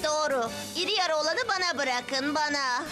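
A woman's voice: high voice, moderate pace, over music, lightly acted. A woman voicing a feisty elderly superheroine in a dubbed cartoon. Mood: determined. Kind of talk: dubbed cartoon character acting.

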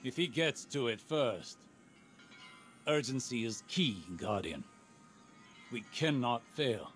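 A man voicing a grave scholar and mentor in a video game, speaking with deliberate seriousness and urgency. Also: medium pitch, lively intonation, fairly bright tone, soft background music. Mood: grave, intent. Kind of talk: video game dialogue acting.

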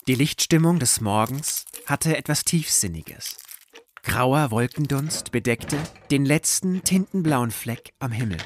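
A man reading scripted literary narration for an audio drama in a measured, deliberate storytelling manner. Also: medium pitch, noisy background.

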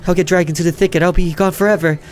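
A man's voice: high voice, fairly even intonation, racing, voice pushed up, natural voice. A man voicing a frightened young child in a horror visual novel, speaking with fearful urgency. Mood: panicked. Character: frightened young child fleeing through snowy woods.

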